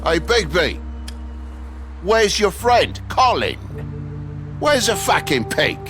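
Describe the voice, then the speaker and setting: A man's voice: sits high, big pitch swings, slow pace, a bit bright, heavily acted. A man voicing an irascible, foul-mouthed working-class father in a video game, blunt and complaining. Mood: bitter, accusing. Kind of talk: video game drama acting. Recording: music behind the voice.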